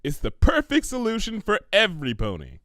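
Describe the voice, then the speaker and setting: A bookish young female cartoon pony, as a man acts her out, prim and earnest. She lectures precisely and matter-of-factly, a little high for a male voice and full of lively inflection. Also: fairly quick, no room sound, clean studio sound, full character voice.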